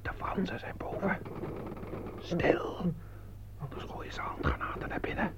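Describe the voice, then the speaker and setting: An actor playing a tense, protective army sergeant under fire in a radio war drama. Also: male voice, unhurried, in a whisper, lightly acted. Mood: hushed, fearful. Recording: muffled old radio recording, loud noise.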